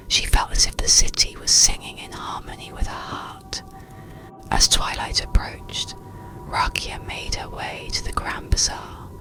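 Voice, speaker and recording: Whispered ASMR narration, breathy, crackly, soothing, hushed, unhurried bedtime story reading. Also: very high voice. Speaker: audiobook narrator.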